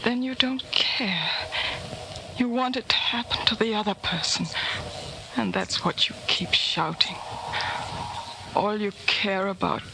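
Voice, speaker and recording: Disillusioned young woman: breathy, halting confession, 1940s radio drama, audible noise. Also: mid-range voice. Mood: bitter, drained. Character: broken, disillusioned young woman, drained of love. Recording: noisy old 1940s radio recording.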